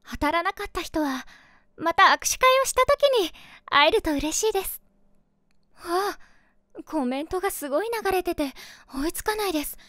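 A woman's voice: extremely high pitch, fairly bright tone, no echo, studio quality. A woman playing a sociable, polite streamer in her late twenties in a voice drama, speaking like someone hosting a livestream. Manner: polite, addressing listeners like a livestream host. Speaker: voice actress in audio drama. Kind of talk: situation voice drama.

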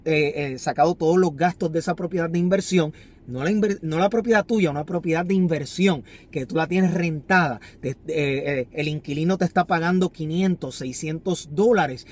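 A man with a fairly high voice speaks straight to a phone camera inside a parked car. He sounds earnest and instructive, repeating key phrases as he coaches on money. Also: a little mellow. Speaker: finance vlogger. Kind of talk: motivational talk to camera. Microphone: phone camera on the dashboard.

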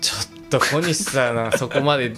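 A man's voice: mid-range voice, moderate pace, pushed and bright, soft background music. A young man from Kansai, co-hosting an internet radio show with casual banter and dry, teasing interjections. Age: young adult. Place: small indoor studio room. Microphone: desk microphone with headphones.

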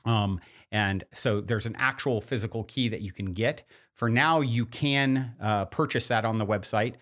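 A male podcast host with a fairly low voice. He talks informally and at length, rambling along with enthusiasm, as if chatting to regular listeners. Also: normal speed, fairly bright tone. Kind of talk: casual podcast talk.

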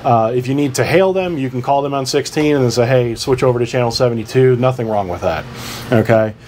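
A man's voice: medium pitch, brisk, a little mellow. A man giving an informal instructional explanation as a presenter, plain-spoken and working through things step by step. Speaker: instructor presenting a boat radio guide. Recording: clear background ambient noise.

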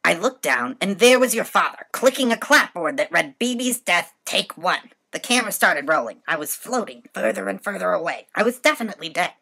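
A woman voicing a brash, defiant older woman with a gravelly voice, a dying talent agent in an audiobook. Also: on the low side, sing-song, brisk, hardly any pauses, sharp tone, voice pushed up, exaggerated voice. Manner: defiant, rattling on about deals. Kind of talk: audiobook narration.

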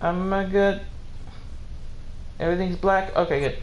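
A man hosting a casual game livestream, giggly and halting in the way he talks. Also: high-pitched, fairly even intonation, reverberant, a little hiss or hum, rough recording. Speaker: streamer host. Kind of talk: casual livestream chat with playful dubbing of game subtitles.

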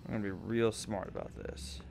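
A man's voice: fairly low pitch, steady tone, a little fast, vocal fry, heavy background noise. A man doing casual let's play commentary, sarcastic and often muttering to himself. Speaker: gamer, let's play host.

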